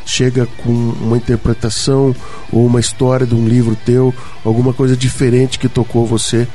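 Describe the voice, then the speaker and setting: A man's voice: mid-range voice, little pitch movement, normal speed, warm tone, soft background music. A man hosting a radio talk show, asking a guest questions with chatty, enthusiastic interjections. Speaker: radio host. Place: radio studio.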